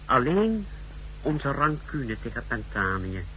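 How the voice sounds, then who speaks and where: A man's voice: mid-range voice, big pitch swings, slow pace, fairly bright tone. An actor playing a formal, precise secret service technician reporting to his superior in a 1960s radio drama. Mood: clinical, formal. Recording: noisy, humming 1960s radio recording.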